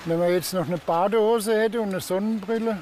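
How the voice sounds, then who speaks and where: Hesitant older trucker, high voice, outdoors. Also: male voice, fairly quick. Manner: hesitant, sentimental, trailing off. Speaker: long-haul truck driver. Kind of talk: halting, emotional interview answers. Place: outdoors on a beach. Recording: outdoor beach with noise behind.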